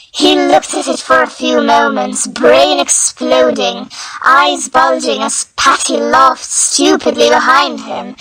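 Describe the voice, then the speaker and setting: Female fanfiction narrator, high-pitched and bright, melodramatic mock-serious storytelling delivery. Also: rough recording. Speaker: fanfiction reader. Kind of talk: dramatic scripted story narration.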